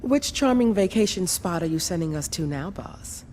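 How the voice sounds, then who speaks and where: A woman's voice: fairly low pitch, expressive, normal speed, heavy background noise, natural voice. Woman playing a dry, sardonic synthetic soldier. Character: cool, sardonic synthetic soldier, hard and bitter. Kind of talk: video game cutscene acting.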